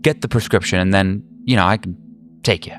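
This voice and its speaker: A man's voice: low-pitched, fairly quick, fairly bright tone, faint music behind. A man voicing a flighty, insistent woman patient who rambles and hesitates in a tabletop horror game. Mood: snippy. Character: flighty, snippy woman patient craving a prescription.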